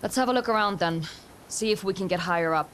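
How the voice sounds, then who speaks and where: A woman's voice: mid-range voice, fast, slight background noise, close to an everyday voice. An actress playing a practical, skeptical young woman warrior in a video game, terse and dry. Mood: puzzled, impatient. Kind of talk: video game cutscene acting. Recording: loud game score behind. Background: music.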